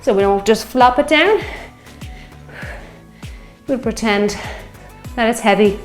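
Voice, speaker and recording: A slightly hoarse woman in her fifties leads a strength class, calling out moves and counting reps as she exercises along. Her voice rings in a roomy space with faint music underneath. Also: on the high side, slow. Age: 50s. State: slightly hoarse from a sore throat. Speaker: fitness instructor. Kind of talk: guided workout instruction.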